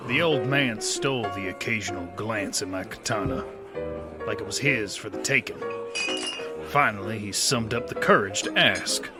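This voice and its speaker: A man's voice: lowish voice, normal speed, over loud music, lightly acted. An actor playing a weary gunslinger who narrates his tale in a dry, laconic drawl. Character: weary male gunslinger, laconic and world-worn. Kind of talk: first-person narration.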